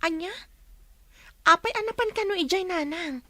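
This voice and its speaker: A woman's voice: extremely high pitch, moderate pace, sharp tone, no echo. A young woman with an Ilocano accent playing an earnest village woman searching for news. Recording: clear crowd noise behind.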